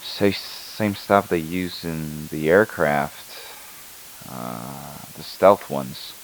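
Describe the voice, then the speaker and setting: A man playing a weary, uneasy night-shift scientist, recording a halting, clinical yet introspective audio log for an analog horror drama. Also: a bass voice, vocal fry, full character voice, poor audio. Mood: hesitant, brooding. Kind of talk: scripted found-footage horror audio log. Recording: hissy analog tape with static.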